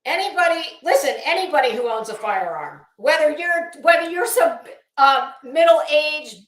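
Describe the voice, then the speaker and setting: A woman's voice: high-pitched, expressive, moderate pace, a bit bright. A blunt, sweary woman ranting casually to viewers as host of a livestream, emphatic and often restarting her phrases. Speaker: host of a live commentary channel. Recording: echoey, roomy sound.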